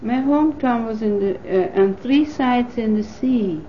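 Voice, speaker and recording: A woman in her fifties from the Netherlands, a native Dutch speaker, recalling her life in an oral history interview with thoughtful pauses and fillers. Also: fairly high pitch, a little hiss or hum. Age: 50s. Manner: thoughtful, pausing with frequent fillers. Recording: dull lo-fi 1980s tape recording.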